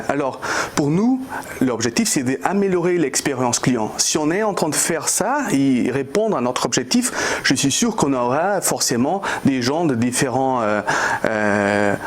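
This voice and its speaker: A man in his thirties, a startup CEO from Portugal, answering interview questions in a hesitant way with a Portuguese accent and many restarts. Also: a little high. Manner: hesitant, many fillers and restarts, non-native phrasing.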